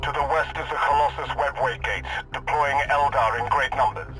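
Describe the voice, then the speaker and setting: An actor playing an earnest military officer in a video game, giving formal, clipped briefings. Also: male voice, medium pitch, steady tone, normal speed, music in the background, natural voice, poor audio. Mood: dutiful.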